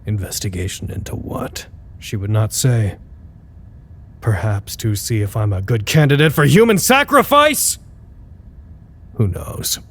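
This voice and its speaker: A man's voice: fairly low pitch, very animated, measured pace, pauses often, fairly bright tone, slight background noise. A man playing a wry, suspicious character who narrates a log in formal wording for an audio drama, dry and sardonic. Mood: dry, wary.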